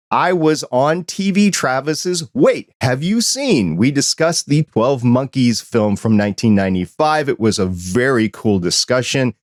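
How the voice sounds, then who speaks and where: A man's voice: mid-range voice, no room sound, clean studio sound. A man hosting a hobby podcast, reading news and plugs in an upbeat way before welcoming a guest. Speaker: podcast host. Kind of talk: casual podcast intro and interview.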